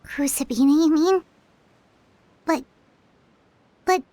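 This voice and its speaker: A woman voicing a tiny, childlike fairy companion in a video game, squeaky, curious and blunt. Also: slow pace, mellow, no echo. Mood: surprised, piecing together. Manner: blunt, inquisitive, talks of herself by name. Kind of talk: animated video game dialogue.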